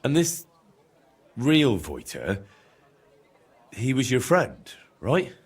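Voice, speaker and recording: An actor playing an earnest young medieval adventurer in a video game, direct and questioning, with a slightly husky voice. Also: male voice, mid-range voice, wildly swooping pitch, very slow, exaggerated voice. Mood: concerned, questioning. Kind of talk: video game dramatic dialogue.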